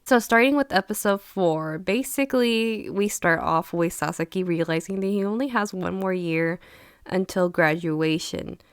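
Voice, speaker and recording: Young woman, slightly hoarse, lower voice, chatty and gushing, dry close sound. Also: normal speed, no echo. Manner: chatty and gushing, lots of "you know". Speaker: anime reviewer. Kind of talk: casual chat.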